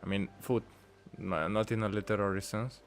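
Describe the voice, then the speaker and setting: A man giving casual gameplay commentary, rambling and thinking aloud with halting phrases. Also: lowish voice, fairly even intonation, gravelly, a little hiss or hum. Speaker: gaming streamer. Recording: game music behind the voice. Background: video game music.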